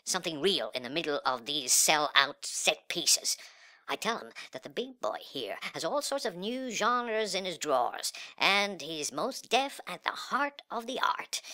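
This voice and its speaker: A man performing a dramatic monologue as a sullen, cocky, nihilistic young slacker, with breathy, slangy, sneering delivery that swings between muttering and outbursts. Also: fairly low pitch, sharp tone, full character voice. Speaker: reader of a literary monologue. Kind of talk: dramatic first-person monologue in slangy speech.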